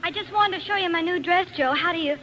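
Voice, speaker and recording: Young cabaret singer, feisty, high-pitched, bright sharp tone, 1940s radio drama, old slightly noisy recording. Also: female voice, quick pace. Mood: sweet, flustered.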